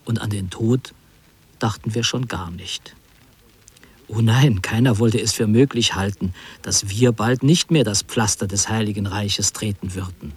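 A man playing a wry, reflective narrator recalling his wartime youth in literary radio drama, measured and lyrical. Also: on the low side, husky.

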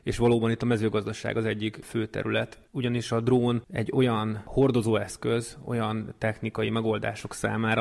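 Very gravelly, even-toned man in interview. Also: lowish voice. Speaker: interviewed board member. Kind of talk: explanatory interview talk.

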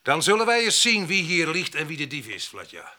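An actor playing a hot-headed, quarrelsome farm labourer in a radio drama, blustering and sarcastic, with a sharp voice. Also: male voice, on the high side, very animated, a little fast, exaggerated voice. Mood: accusing. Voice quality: sharp, forceful.

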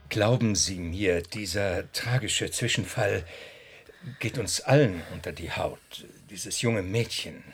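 An actor playing a smooth-talking, coldly sinister male doctor in a radio drama, measured and placating in manner. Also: on the low side, soft background music.

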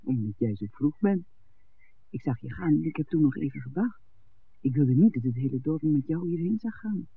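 An actress playing a lively, romantic young village barmaid in a 1960s Dutch radio drama. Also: female voice, low voice, normal speed, vocal fry, narrow, tinny audio, a little hiss or hum. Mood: tender, earnest. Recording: thin vintage 1969 radio recording.

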